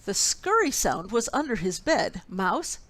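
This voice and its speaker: A woman storyteller reading a children's picture book expressively, with deliberate, dramatic phrasing. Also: medium pitch, expressive.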